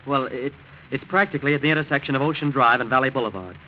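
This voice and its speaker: A man's voice: medium pitch, racing, lightly acted. An actor playing a weak, guilt-ridden rich young man in a 1940s radio drama, nervous and fretful. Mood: shaken, guilty. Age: young adult. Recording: muffled, noisy 1940s radio recording.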